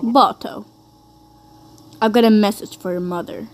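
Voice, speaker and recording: A woman's voice: medium pitch, steady tone, a little slow, close to an everyday voice. A woman voicing an arrogant, taunting male sled dog in a cartoon fandub, with a smug, mocking drawl. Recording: noisy amateur fandub recording.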